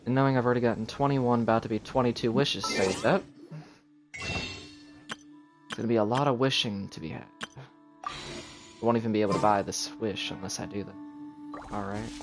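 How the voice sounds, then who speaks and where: A man's voice: lowish voice, moderate pace, pauses often. Male game streamer talking over music. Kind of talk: casual live gaming commentary.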